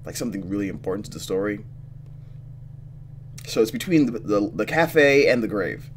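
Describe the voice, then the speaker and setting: Male let's player, lower register, expressive, switches between reading game text and commentary; faint noise and hum behind. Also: normal speed. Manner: expressive, thinking out loud. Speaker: let's player reacting to a visual novel.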